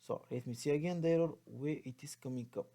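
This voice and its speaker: Mellow-voiced Bulgarian man teaching coding. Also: a little high, quick pace. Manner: thinks aloud while typing, spelling out code. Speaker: programming tutorial instructor. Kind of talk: unscripted step-by-step coding walkthrough.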